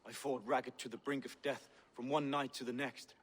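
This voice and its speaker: A man's voice: mid-range voice, flat delivery, slightly rough, close to an everyday voice. An actor playing a proud, zealous male Viking warrior who talks grandly and bristles when questioned. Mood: boastful. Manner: grandiose, boastful, quick to bristle. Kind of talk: game dialogue.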